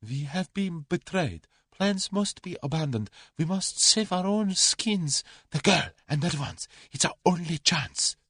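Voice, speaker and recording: A man's voice: high-pitched, expressive, a bit bright, husky, dry recording, clean studio sound, pitched above the performer's own voice, full character voice. A man voicing a frightened, snarling Russian agent. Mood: urgent, tense. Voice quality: slow, strained, unsteady delivery.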